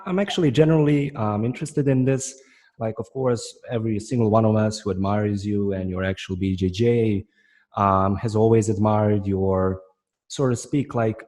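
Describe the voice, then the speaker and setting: A man's voice: lowish voice, dark tone. A man hosting a podcast, asking long, winding questions full of fillers. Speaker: podcast host, interviewer. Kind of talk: interview questions.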